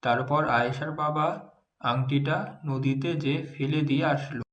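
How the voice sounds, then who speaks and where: A man's voice: medium pitch, steady tone, moderate pace, a bit bright, soft background music. A man reading scripted narration for a moral story as its narrator. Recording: roomy, reverberant, distant-sounding narration.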